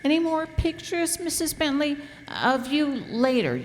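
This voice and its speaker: A curious, teasing little girl , voiced by a woman, with a high, animated voice and unhurried delivery. She questions stubbornly in radio-play fashion, in a reverberant hall recording with faint noise. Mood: teasing doubt. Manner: teasing, matter-of-fact questioning. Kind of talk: stage radio-play acting. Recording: echoey hall, noisy live stage recording.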